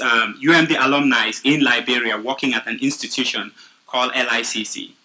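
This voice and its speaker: Earnest bright-voiced Cameroonian man. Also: mid-range voice, creaky, poor audio. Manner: earnest, steady storytelling. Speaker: nonprofit founder pitching. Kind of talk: prepared pitch speech.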